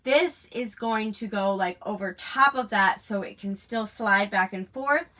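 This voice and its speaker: Crafting host, woman in her 30s, stuffy nose from a cold, crisp bright tone, chatty and rambling. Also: mid-range voice, measured pace, low-quality recording. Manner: rambling, many fillers. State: congested from a cold. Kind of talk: casual chat while crafting.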